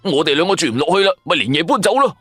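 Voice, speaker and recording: A male narrator puts on an excitable young sidekick for a Cantonese supernatural radio play. He is naive and tactless and blurts out exclamations. His pitch rides high and jumps about a lot, close and dry over a music bed. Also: racing, husky, no room sound, full character voice. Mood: impressed.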